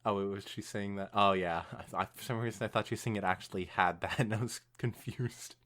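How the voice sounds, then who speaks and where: A woman reading fan fiction aloud as a podcast co-host, narrating in an animated way with casual asides. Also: rumbling low, quick pace.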